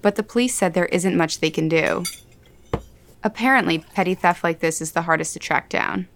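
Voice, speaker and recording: A woman's voice: on the low side, moderate pace, fairly bright tone, a little hiss or hum. An actress playing a guarded, direct woman in her late thirties in an audio drama, speaking dryly. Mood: dry, matter-of-fact.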